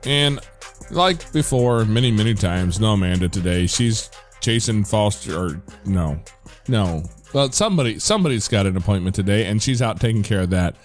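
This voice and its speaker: A man hosting a podcast, chatting casually in a relaxed, rambling way that often corrects itself mid-sentence. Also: lowish voice, normal speed, faint music behind. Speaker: podcast host. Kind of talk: casual chat.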